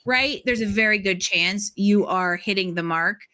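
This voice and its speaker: A woman hosting a conversational podcast interview, explaining things in an animated, casual way. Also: medium pitch, normal speed. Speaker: podcast host interviewing a guest.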